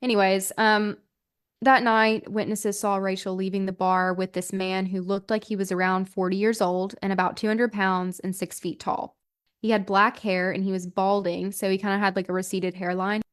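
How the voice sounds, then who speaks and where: A woman's voice: medium pitch, flat delivery, moderate pace, smooth. A woman hosting a true-crime podcast, telling the story in a casual, conversational way peppered with "like". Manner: informal, hedging, filler-heavy with "like".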